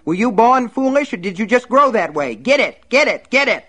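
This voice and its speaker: A man's voice: fairly high pitch, sing-song, normal speed, voice pushed up. A man doing a snarling, bullying husband. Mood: raging. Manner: snarling, sneering, shouting. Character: brutal, bullying middle-aged husband. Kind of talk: audiobook narration.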